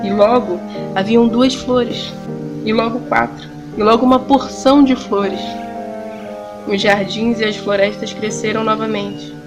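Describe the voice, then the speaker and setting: A woman with a Brazilian accent narrating an audio drama in a measured, solemn storytelling style with deliberate pauses. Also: on the high side, steady tone, over music.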